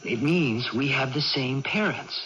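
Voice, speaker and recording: An actor playing an earnest, patient young man, gently instructing his sister in a radio drama. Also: mid-range voice, a little slow. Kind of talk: 1980s radio drama acting. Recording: dull, hissy old radio broadcast recording.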